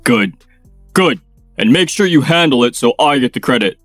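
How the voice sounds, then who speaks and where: A man's voice: mid-range voice, expressive, moderate pace, fairly bright tone, dry recording, faint music behind. Arrogant, domineering male company president, played by a man, for a manga dub. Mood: bossy. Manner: bossy, domineering.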